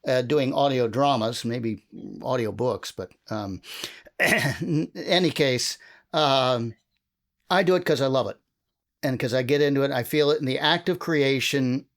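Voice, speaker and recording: A man with a medium-pitched voice moderates a panel. He talks casually and thinks aloud, with a halting, musing delivery. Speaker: panel moderator and audio drama creator.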